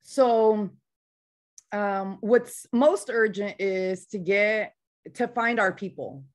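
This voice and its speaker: A woman's voice: mid-range voice, slow pace. A woman veteran organizer with a slight Spanish-influenced accent, speaking passionately and bluntly in a casual online panel discussion.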